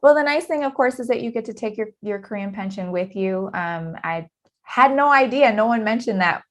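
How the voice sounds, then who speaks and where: An American woman hosting a casual interview chat, animated and laughing often. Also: fairly high pitch, normal speed. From United States. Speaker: host.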